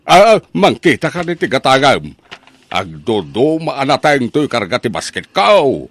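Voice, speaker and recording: A man's voice: medium pitch, sing-song, unhurried, fairly bright tone, no room sound, full character voice. A man playing a folksy older village vendor, jolly and cajoling, drawling and exclaiming in an Ilocano comedy radio drama. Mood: jolly, coaxing.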